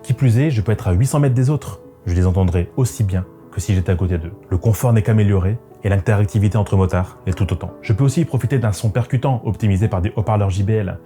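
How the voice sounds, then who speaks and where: A man's voice: fairly low pitch, fast talker, hardly any pauses, warm tone. A man giving scripted, first-person narration as a motorcycle vlogger, in a reflective, conversational manner. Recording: music behind the voice. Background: background music.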